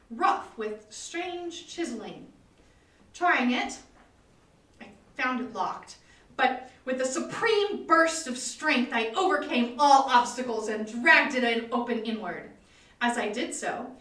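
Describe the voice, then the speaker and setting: Female horror-story reader: high voice, very animated pitch, theatrical and suspenseful, recorded at a distance in an echoey room. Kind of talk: dramatic reading of a gothic horror story. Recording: roomy echo, distant mic, live online stream.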